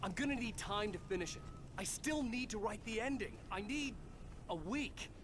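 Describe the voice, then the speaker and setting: An actor playing a distraught writer in danger, speaking with urgent strain. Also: male voice, sits high, expressive, normal speed, noisy background. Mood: desperate, angry.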